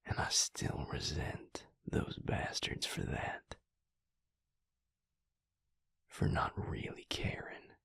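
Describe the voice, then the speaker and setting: Guy acting as a protective vampire boyfriend, really husky n breathy, slow earnest near-whisper, intimate roleplay audio. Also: no room sound, full character voice. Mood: simmering, bitter. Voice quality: husky, breathy, gravelly low voice. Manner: hushed, slow, deliberate, intense. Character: protective, brooding male vampire lover, earnest and simmering.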